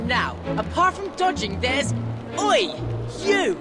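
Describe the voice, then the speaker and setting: Animated British schoolboy club captain. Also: male voice, very high voice, takes it slowly, exaggerated voice. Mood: instructing, bossy. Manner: eager, commanding, showman-like. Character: enthusiastic schoolboy duelling club captain, bossy announcer. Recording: game audio with loud music behind.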